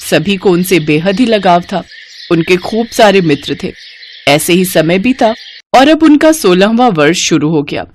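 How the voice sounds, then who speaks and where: A woman narrating a devotional story in a scripted storytelling style. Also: lowish voice.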